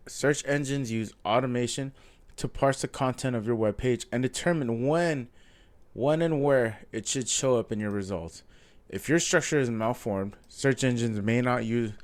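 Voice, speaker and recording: A man with a stuffy nose from a cold, casually reading through a coding course aloud with frequent ums and self-corrections. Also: medium pitch. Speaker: self-taught coding vlogger. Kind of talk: casual follow-along reading and thinking aloud.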